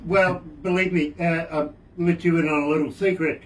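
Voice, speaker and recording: A middle-aged man with a New Zealand accent hosts a radio show, his voice sitting slightly high. He spins long, deadpan jokes and quips at his guests in a relaxed studio chat. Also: rough recording. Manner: cracks deadpan jokes, drawn-out setups. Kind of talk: casual joking interview.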